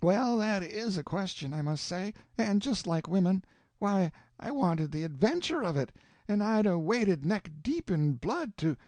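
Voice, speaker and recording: Man playing a boastful young country boy, eager, lively, mellow, dry close sound. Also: sits high, unhurried, no room sound, pitched above the performer's own voice. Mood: defiant.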